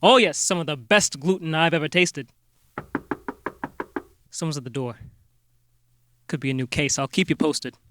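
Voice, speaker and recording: An actor playing an earnest, polite small-town amateur detective who talks in a halting, folksy way, in a comic radio-drama style. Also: male voice, on the high side, over music, natural voice. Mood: easygoing.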